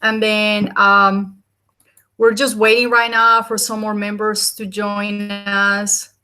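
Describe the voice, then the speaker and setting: A woman's voice: medium pitch, flat delivery, slow, sharp tone, clean tone. A woman from Colombia with a Colombian Spanish accent, hosting a group video call in an eager, rambling way with many fillers. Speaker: chapter host. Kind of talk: casual video call chat.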